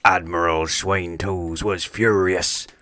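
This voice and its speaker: Unhurried, bright-voiced male storybook reader. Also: sits low, fairly even intonation, dry recording, clean studio sound. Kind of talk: expressive scripted children's story narration.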